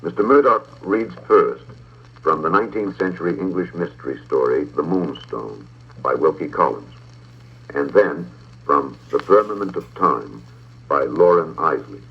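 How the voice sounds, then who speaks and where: A man, middle-aged, narrating a script in a measured, formal way with precise diction, on an old talking-book recording. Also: a bass voice, lively intonation, husky, dull audio. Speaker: audiobook narrator and presenter.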